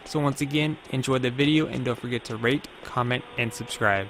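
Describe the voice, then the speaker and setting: A man's voice: mid-range voice, a little fast, fairly bright tone, slight background noise. A man hosting a gaming video, giving a channel introduction.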